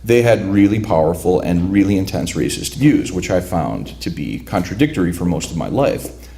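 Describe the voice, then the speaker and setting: A man's voice: low voice, sing-song, creaky, dark tone, slight background noise. A man reading aloud from his own prose at a literary event, speaking reflectively and often restarting his sentences. His first language is Lithuanian. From United States, raised among Lithuanian migrants. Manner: reflective, halting with repeated restarts. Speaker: author.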